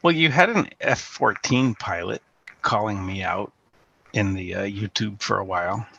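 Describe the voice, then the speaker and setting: A man calling in over a video call, talking haltingly with lots of fillers. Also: lowish voice, gravelly, sharp tone, slightly rough, lo-fi sound. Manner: halting, hesitant, many fillers. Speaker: caller. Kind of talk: casual call-in chat.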